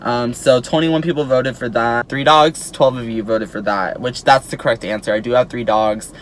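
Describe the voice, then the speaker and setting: A man's voice: medium pitch, steady tone, normal speed, slight background noise. An eighteen-year-old man chatting casually to his viewers as a YouTuber, peppering his talk with "like" and "um". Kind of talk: casual vlog chat to camera.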